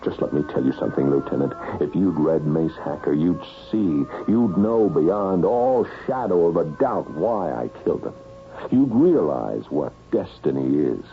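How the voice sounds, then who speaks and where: An actor in a radio mystery playing a meek, put-upon office worker and husband, halting and mild, who confesses to murder. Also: male voice, extremely low pitch, animated, soft background music. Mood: bitter, insistent. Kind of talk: radio mystery acting with first-person narration. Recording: tinny, hissy old radio broadcast recording.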